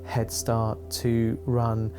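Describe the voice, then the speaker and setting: A man's voice: mid-range voice, monotone, extremely slow, music in the background. A man who works with a youth group, explaining its sessions and activities in an informative way. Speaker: charity youth worker. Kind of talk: explanatory talk.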